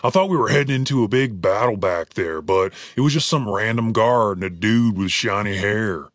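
A gruff slacker barbarian acted out by a male narrator from a comic fantasy audiobook. He talks in a low pitch with a slow, blunt, laid-back delivery, recorded close and dry. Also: fairly bright tone, no echo, natural voice. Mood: flat, unbothered.

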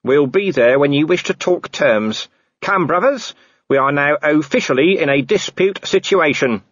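A man's voice: a little high, lively intonation, normal speed, fairly bright tone, no room sound, dull audio, pitched above the performer's own voice. A man doing a cocky scruffy corporal. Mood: smug. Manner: cocky, offhand, smug. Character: cheeky scruffy sly male corporal.

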